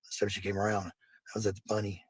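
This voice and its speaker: A middle-aged man with a gravelly, husky voice talking casually to viewers in a blunt, profane, rambling monologue, repeating phrases for emphasis. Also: on the low side, little pitch movement, very fast, no room sound.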